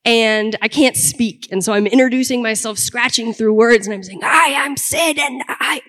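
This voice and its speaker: A young woman telling a personal story to a live audience on stage, lively and self-mocking. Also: a little high, moderate pace. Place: live event hall. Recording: stage mic with long hall reverb.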